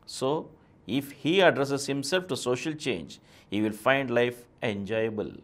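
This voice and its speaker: Man, middle-aged, Indian accent, medium pitch, formal scripted reading, deliberate phrasing. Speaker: presenter reading answers aloud. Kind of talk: scripted reading of a question-and-answer essay.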